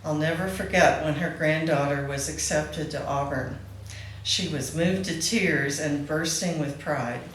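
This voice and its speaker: A woman's voice: low-pitched, a little slow. A woman reading a prepared eulogy aloud at a memorial celebration. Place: indoor event room with a live gathering. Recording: roomy echoing hall, faint background noise.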